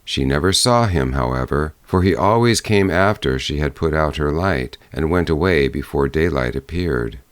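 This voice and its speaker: A man narrating a fairy-tale audiobook in a measured storytelling manner. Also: very deep voice, lively intonation, no room sound.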